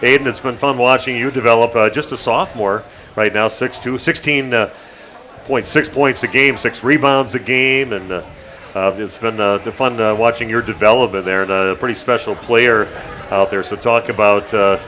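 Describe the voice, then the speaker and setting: A man hosting a local radio sports show, chatting casually with guests, halting with lots of fillers and the odd joke. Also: medium pitch, fairly even intonation, pushed and bright. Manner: halting, many fillers, joking. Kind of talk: casual interview chat.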